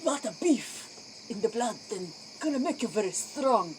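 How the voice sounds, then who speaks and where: A woman's voice: fairly high pitch, big pitch swings, unhurried, a little mellow. A woman in an improvised comic role-play, speaking broken English. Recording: faint noise, crickets behind.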